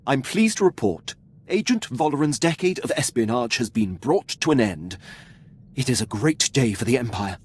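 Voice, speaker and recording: Proud empire officer giving reports in a game, husky, lively pitch, formal and respectful. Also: male voice, normal speed. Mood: proud, eager. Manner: crisp formal reporting, deferential. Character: proud, efficient male imperial military officer. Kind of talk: video game dialogue acting.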